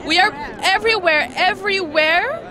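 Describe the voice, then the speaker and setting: A woman protester giving an earnest, measured interview answer. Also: very high voice. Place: outdoors at a rally. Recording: crowd behind.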